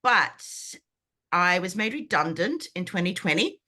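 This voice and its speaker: A woman in her fifties, croaky from a sinus infection, chatting enthusiastically as a guest on a video call, with lots of fillers and restarts. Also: lowish voice, big pitch swings, measured pace, pushed and bright. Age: 50s. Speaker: brand foundations coach. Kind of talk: guest on an online group call.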